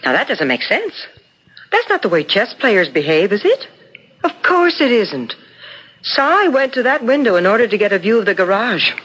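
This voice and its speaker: Nosy suspicious wife in old radio mystery, kinda high voice, persistent and pointed. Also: female voice, sing-song, normal speed, fairly bright tone, breathy edge, exaggerated voice, poor audio. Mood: insistent, suspicious. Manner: insistent, pointed, articulate. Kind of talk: 1970s radio mystery drama acting.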